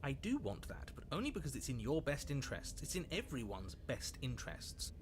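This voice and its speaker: A man's voice: medium pitch, wildly swooping pitch, brisk, noisy background. A man playing a stern, disembodied narrator in a horror game, articulate and insistent, with dry disdain. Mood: exasperated, urgent. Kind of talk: voiced visual novel narration.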